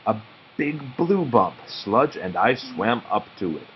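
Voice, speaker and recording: A man narrating a children's story aloud expressively and deliberately, with dramatic pauses. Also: on the low side, big pitch swings. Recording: muffled audio with faint background noise.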